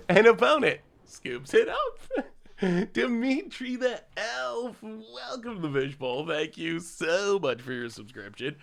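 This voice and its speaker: A man's voice: high-pitched, big pitch swings, takes it slowly, vocal fry, fairly bright tone. A man streaming a card game and chatting casually with his viewers, a little congested from a cold, laughing often as he plays. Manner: chatty, laughs often, thanks chat subscribers. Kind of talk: casual live-stream commentary while playing.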